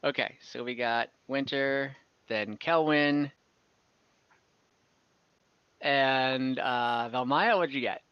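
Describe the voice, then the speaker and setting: A man acting as game master in a casual online tabletop game, explaining rules in an easygoing, halting way. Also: medium pitch, clear, resonant tone, no room sound, muffled recording.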